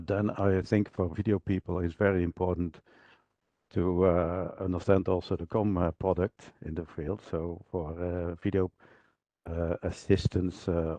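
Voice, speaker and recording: A man with a deep, mellow voice walks through technical slides as a product trainer. His delivery is halting and broken into short fragments as he searches for words. The sound is dull and roomy. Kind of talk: unscripted slide presentation, technical walkthrough. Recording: dull, roomy online presentation audio.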